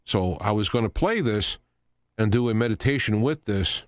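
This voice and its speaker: A man hosting a radio talk show, giving an unscripted commentary in a halting, rambling way, often repeating and restarting his phrases. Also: lowish voice, lively intonation, dry recording, studio quality. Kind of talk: unscripted news commentary monologue.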